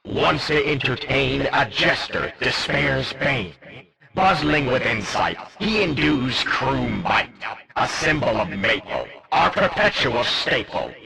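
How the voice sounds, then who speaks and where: A man's voice: mid-range voice, big pitch swings, slow, vocal fry, a crowd in the background, exaggerated voice, poor audio. A man voicing a jesty, centuries-old skeleton merchant for a game, speaking in theatrical mock-archaic language. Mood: ominous riddling. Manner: theatrical, archaic, exclamatory, riddling. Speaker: character voice for a game.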